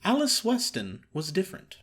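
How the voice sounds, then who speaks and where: A man reading a story aloud as theatrical audiobook narration, with a wry, expressive storytelling manner. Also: high voice, moderate pace. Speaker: story reader.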